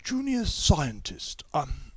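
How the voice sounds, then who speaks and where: A kindly, serious schoolmistress as a male narrator puts her on. The pitch is lifted above a man's usual talk and the manner is soft and measured. The sound is dry. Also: huge pitch swings, husky, no echo, heavily acted. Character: gentle, serious woman teacher.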